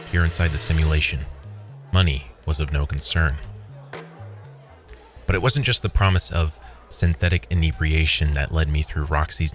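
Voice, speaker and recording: Very low male voice, weary wry programmer played by a man, scripted first-person storytelling, music underneath. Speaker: narrator. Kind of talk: first-person scripted audio drama narration.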